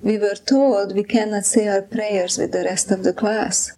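A woman in her eighties from Czechoslovakia recalling her childhood in an interview, speaking in a measured, reflective way. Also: medium pitch, fairly even intonation, warm tone, rough recording. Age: 80s. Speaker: interviewee, Holocaust survivor. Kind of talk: recounting memories in an interview.

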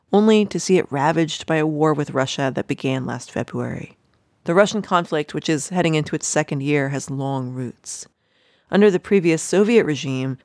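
A woman's voice: deep voice, darkish tone, no echo. Female podcast host, scripted narration, dry booth sound. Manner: measured, read-aloud introduction.

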